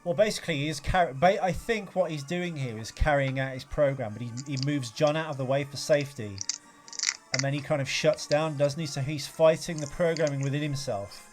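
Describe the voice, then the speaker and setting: A man's voice: a little high, brisk. A man co-hosting a casual film discussion podcast, explaining his ideas at length in a rambling, analytical way. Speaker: podcast co-host. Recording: music playing in the background. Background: film soundtrack music.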